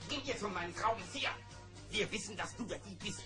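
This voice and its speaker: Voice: mid-range voice, animated, a little fast, over music, close to an everyday voice. A performer playing a suspicious, accusing gummi bear in a German children's audio play.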